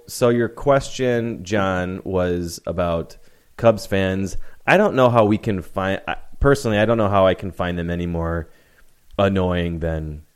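A man's voice: sits low, a little mellow, clear, resonant tone. A man co-hosting a podcast, chatting casually about sports in a rambling way. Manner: rambling, with restarts. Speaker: podcast co-host.

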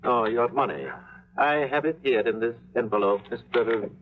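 An actor as an oily foreign schemer. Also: male voice, medium pitch, normal speed, natural voice. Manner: formal, oily courtesy. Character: smooth scheming middle-aged foreign businessman. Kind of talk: 1950s radio drama acting. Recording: thin, lo-fi 1950s radio broadcast.

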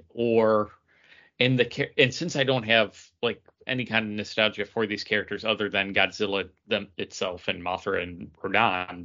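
A man's voice: lowish voice, sharp tone, muffled recording. A man co-hosting a podcast in casual chat, hesitant and restarting his sentences. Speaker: podcast co-host.

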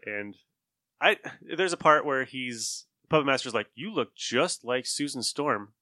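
A man co-hosting a podcast in relaxed, informal review chat. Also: mid-range voice, unhurried, no echo, studio quality. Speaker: podcast co-host.

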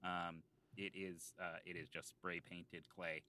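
A man plays an earnest local history buff on a comedy podcast. His low voice is very bright and crisp, and he rambles through hesitant, mock-scholarly explanations. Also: level tone, slow pace, no echo, exaggerated voice. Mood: earnest, rambling. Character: rambling, self-serious small-island local man explaining town lore. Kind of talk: improvised comedy podcast banter in character.

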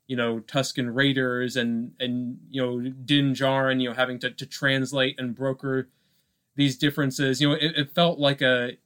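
A man co-hosting a podcast in a casual film discussion, talking haltingly with repeated words and lots of filler phrases. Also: medium pitch, flat delivery, fairly bright tone, clear, resonant tone. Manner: halting, repetitive, peppered with "like" and "you know". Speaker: podcast co-host.

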